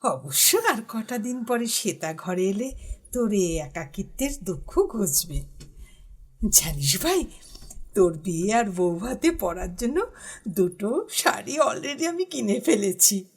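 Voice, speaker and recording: A doting elder sister in an audio drama, speaking. Her voice is fairly high and very animated. She takes her time over her words, fussing and teasing affectionately. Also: takes it slowly, breathy edge, exaggerated voice. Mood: chatty, teasing. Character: doting, chatty married elder cousin sister. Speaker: supporting character.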